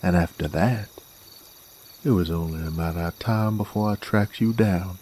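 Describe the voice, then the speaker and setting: A man playing a cocky, gruff werewolf bounty hunter in an Old West audio drama, with a folksy, taunting American Western drawl. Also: low-pitched, moderate pace, darkish tone, slight background noise, natural voice. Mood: smug, menacing. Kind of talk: roleplay audio drama monologue, addressed to a captive.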